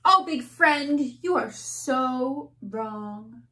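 A woman reading a picture book aloud, voicing a small male ant who pleads not to be squished, slow and sing-song. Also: high-pitched, lively intonation, pushed and bright, exaggerated voice. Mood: earnest.

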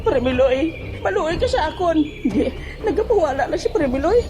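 A woman's voice: high-pitched, animated, moderate pace. A woman acting a frantic old man in a comic audio drama, exclaiming and talking through each action aloud. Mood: pleading.

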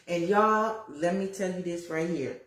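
A woman's voice: on the low side, a little slow. Chatty woman in her fifties, roomy kitchen. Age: 50s. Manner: lively, preachy and chatty, addresses viewers as y'all. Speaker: lifestyle vlogger. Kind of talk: casual livestream chat while cooking. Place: home kitchen, indoors. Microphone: phone camera at arm's length.

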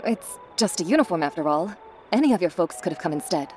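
An actress playing a deferential, chatty shopkeeper in a video game, polite and flattering, over faint music. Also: female voice, on the low side, fast talker, lightly acted. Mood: deferential, pleasant. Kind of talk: video game character acting.

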